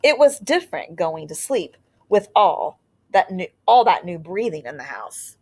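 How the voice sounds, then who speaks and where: A woman reading a children's picture book aloud like a teacher, with expressive storytelling and deliberate, drawn-out phrasing. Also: on the low side, breathy edge.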